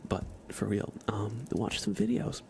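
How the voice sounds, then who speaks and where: A man hosting a casual ASMR livestream, speaking softly and informally, often slipping into a breathy whisper. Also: on the low side, unhurried, slight background noise. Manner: relaxed, informal, uses y'all. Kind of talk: casual ASMR stream chat.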